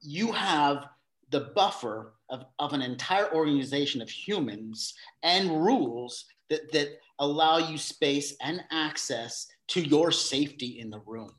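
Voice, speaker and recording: A man speaking as a guest in a casual interview, thinking aloud with frequent restarts and fillers, with animated bursts now and then. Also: fairly high pitch, measured pace. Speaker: guest, theater company facilitator.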